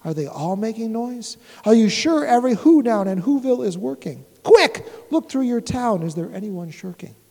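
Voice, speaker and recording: A woman voicing a big, gentle, loyal male elephant who speaks slowly and earnestly. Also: a little high, lively intonation. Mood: patient. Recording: echoey room with long reverb.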